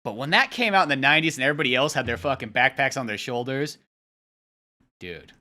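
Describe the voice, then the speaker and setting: Bright-voiced young man, casual and sweary. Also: medium pitch, fast talker. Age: young adult. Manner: profane, animated storytelling with acted-out lines. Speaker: podcast co-host and comic creator. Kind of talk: casual comic read-along commentary.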